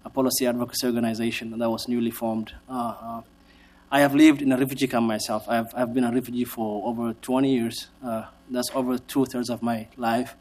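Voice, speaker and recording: A man from Somalia, a policy advocate, giving measured, hesitant testimony to a city council with frequent filler sounds. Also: medium pitch, level tone, a little mellow.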